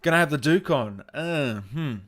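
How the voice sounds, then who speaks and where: A man's voice: a little high, lively intonation, moderate pace, a bit bright. A man hosting a livestream, chatting informally and jokingly with his viewers in a matey way. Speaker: livestream host reading viewer comments. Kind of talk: casual livestream chat with viewers.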